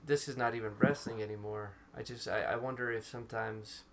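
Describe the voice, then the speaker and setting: A man, chatting loosely and restarting his sentences as he goes. He talks at a middling pitch through a headset on a video call. A steady hiss of noise sits under his voice. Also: fairly quick. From Serbia. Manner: talkative, stops and restarts often. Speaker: co-host. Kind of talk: casual chat. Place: small room indoors. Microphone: over-ear headset on a video call. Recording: noisy video call audio.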